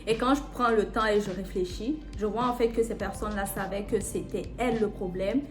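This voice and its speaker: A woman's voice: medium pitch, a little fast. A young woman chatting casually and candidly as a video co-host, emphatic, repeating herself to insist. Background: music.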